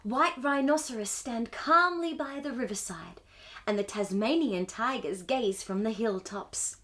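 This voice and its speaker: A woman hosting a storytime, reading a picture book aloud to young children in an expressive, wonder-filled way. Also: on the high side, unhurried. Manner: wide-eyed wonder, exclamations and gasps between passages.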